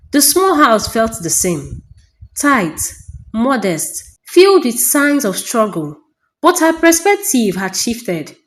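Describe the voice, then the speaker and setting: Expressive higher-voiced woman storyteller. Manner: measured, short phrases with dramatic pauses. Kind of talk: scripted dramatic story narration.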